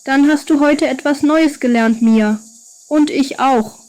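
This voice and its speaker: A woman's voice: high-pitched, a little slow, a little mellow. A woman voicing a friendly, curious and reassuring young boy in a children's bedtime story.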